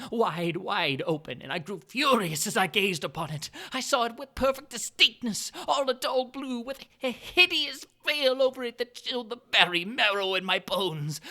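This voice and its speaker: Man, dramatic gothic storytelling, bright clear tone, hushed whispers, frantic outbursts, building intensity. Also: high-pitched. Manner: theatrical, swinging from hushed whispers to frantic shouting. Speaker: narrator. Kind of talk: dramatic reading of a gothic tale.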